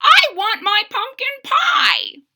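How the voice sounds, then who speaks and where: A woman voicing a cranky, impatient, centuries-old man in a storybook, croaking and hollering with loud, drawn-out exclamations. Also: very high voice, very animated, voice pushed up, full character voice. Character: cranky, impatient eight-hundred-year-old man craving pumpkin pie.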